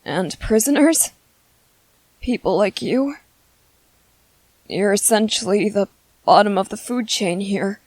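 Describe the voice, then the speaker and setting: Woman as kind young male soldier, slow careful delivery, soft-spoken, hesitant, apologetic, earnest, close dry sound, ASMR audio drama. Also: mid-range voice, animated, no echo, low-quality recording. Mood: kind, reassuring. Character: gentle, apologetic young male soldier bringing a prisoner supper.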